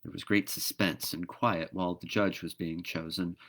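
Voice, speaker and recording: A man narrating a children's storybook aloud in an expressive read-aloud style. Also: deep voice, moderate pace, vocal fry, slight background noise. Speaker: storybook reader.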